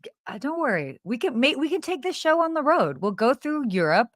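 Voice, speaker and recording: A woman's voice: high-pitched, very animated, a little fast, no room sound, clean studio sound. A woman co-hosting a live travel show in casual chat. She is bubbly, laughs often, and trails off and restarts her sentences. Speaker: podcast co-host.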